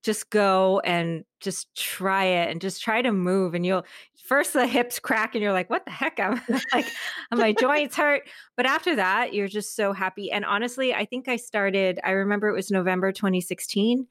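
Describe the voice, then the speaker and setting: A woman's voice: medium pitch, normal speed, no echo. Effusive middle-aged woman in a dry recording. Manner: animated, effusive, re-enacts dialogue, laughs often. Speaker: podcast guest, author and creative. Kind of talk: casual interview storytelling.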